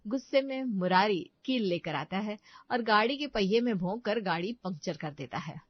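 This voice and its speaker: A woman's voice: mid-range voice, expressive, moderate pace, no echo, dull audio. A woman reading scripted narration for a comic family story.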